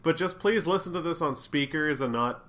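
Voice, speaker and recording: A young man co-hosting a casual comedy podcast while sick, trading deadpan absurd jokes. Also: on the high side, normal speed. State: sick with a cough. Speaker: podcast co-host.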